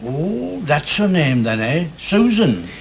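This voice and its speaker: An older Northern English neighbour, high in pitch, homely and blunt with his probing questions. It is vintage television drama, on a muffled soundtrack with clear background noise. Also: sing-song, slow pace, exaggerated voice. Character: nosy, plain-spoken older neighbour man, fond of collecting. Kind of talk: 1960s British television drama acting.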